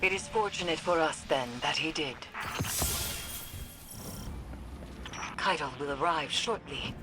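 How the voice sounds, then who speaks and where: An actor voicing a cryptic, solemn guide in a video game, speaking in a measured, grave, formal way. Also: mid-range voice, lightly acted. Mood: dry, composed. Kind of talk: dramatic game dialogue. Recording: loud game ambience and noise behind.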